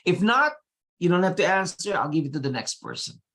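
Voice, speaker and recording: Panel moderator, mid-pitched voice, quick prompts to each speaker. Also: big pitch swings, fairly bright tone. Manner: brisk, prompting speakers by name.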